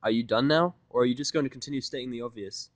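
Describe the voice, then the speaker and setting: A man's voice: medium pitch, fast, no room sound, close to an everyday voice. A man voicing an irritable, cynical human male with a sardonic, profane manner in a story reading. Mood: defiant.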